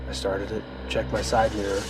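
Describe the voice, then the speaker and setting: An actor playing a tense survivor narrating a zombie outbreak in a first-person radio play. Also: male voice, fairly low pitch, completely flat delivery, normal speed, heavy background noise, lightly acted. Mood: uneasy recounting. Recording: loud music over the voice.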